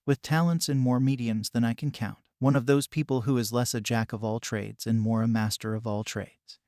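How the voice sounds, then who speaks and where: Calm man narrating a scary story. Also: medium pitch, no echo, clean studio sound. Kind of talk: scripted narration.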